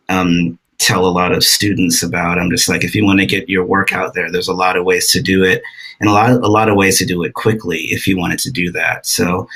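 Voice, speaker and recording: A man talks on a writers' panel in a loose, hesitant way, stopping often to reach for his words. His voice is low and flat with a gravelly fry, and a bright, tense edge in the tone. It sounds like casual, unscripted talk. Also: low-quality recording. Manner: hesitant, many ums, restarts. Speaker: writer on a book festival panel.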